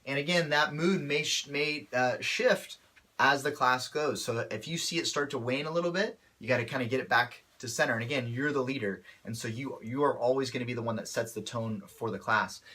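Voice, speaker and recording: Bright-voiced man, slightly off from a cold. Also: medium pitch, fairly quick. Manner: upbeat coaching tone, often checks in with "right?". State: voice a little off from a cold. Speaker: martial arts instructor. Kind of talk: casual instructional talk to camera.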